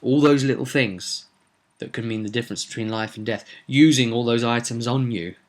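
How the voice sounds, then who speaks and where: A man's voice: mid-range voice, fairly even intonation, moderate pace. A man talking casually as a game master, recapping a roleplay session in a rambling, conversational way with some dramatic emphasis. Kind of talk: casual vlog recap to viewers.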